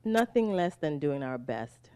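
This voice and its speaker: A woman's voice: low-pitched, sing-song, measured pace, dark tone, smooth, no room sound. A woman with a light Jamaican accent, a regional cricket director, giving brief, composed and dryly witty answers in a booth interview. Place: commentary booth at a cricket ground.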